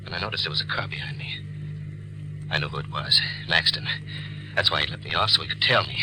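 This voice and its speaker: An actor playing a nervous, guilt-ridden hearse driver in a 1940s radio thriller, jittery and defensive. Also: male voice, extremely low pitch, quick pace. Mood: haunted narration. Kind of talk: 1940s radio thriller acting with first-person narration. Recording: noisy old 1940s radio recording.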